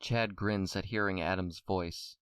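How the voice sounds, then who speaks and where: A man's voice: sits low, fairly even intonation, unhurried, fairly bright tone, clean tone, no room sound, studio quality, distant mic. A man narrating a scripted audio drama as storyteller.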